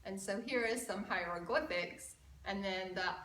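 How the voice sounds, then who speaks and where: Lady doing kids story time, bright and slow, very animated delivery, echoey room. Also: mid-range voice. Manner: expressive, asks the young viewers questions. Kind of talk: animated read-aloud for children. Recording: roomy, from a distant mic.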